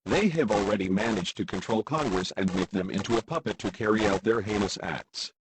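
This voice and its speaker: Embattled president played by a man, low male voice, solemn and declamatory, formal address over clear noise. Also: lightly acted. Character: grave, embattled male President of the United States. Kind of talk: formal televised emergency address.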